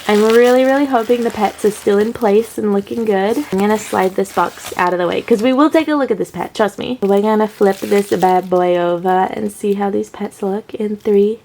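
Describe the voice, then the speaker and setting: A chatty woman in her thirties, her voice stuffy and a bit congested from a cold. She talks casually and warmly to her viewers in an upbeat way, full of "like" fillers. Her pitch sits in the middle range for a woman. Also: normal speed, hardly any pauses, slight background noise, rough recording. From Australia. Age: 30s. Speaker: collector vlogger. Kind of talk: casual unboxing vlog.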